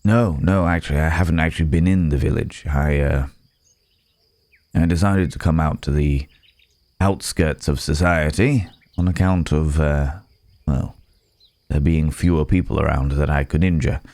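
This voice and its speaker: A man playing a gentle, apologetic werewolf in an intimate ASMR roleplay, speaking in a hesitant, polite, old-fashioned way with soft chuckles. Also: very deep voice, fairly even intonation, moderate pace, dry recording. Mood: sheepish, apologetic.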